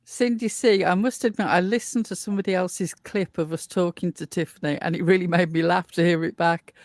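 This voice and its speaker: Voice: medium pitch, steady tone, fairly quick, no room sound, studio quality. A co-host of a casual group chat show, speaking in a friendly, earnest way. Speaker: podcast co-host.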